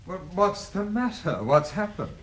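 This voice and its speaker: An actor playing a flustered but kindly man in a radio drama, husky, fussy and repeating himself. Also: high voice, sing-song, unhurried, a little hiss or hum. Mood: concerned, soothing. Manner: repetitive, reassuring, fussy.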